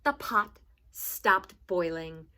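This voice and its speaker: A woman's voice: fairly low pitch, very slow, pushed and bright. A woman reading a children's storybook aloud like a teacher, with dramatic emphasis and asides to young listeners.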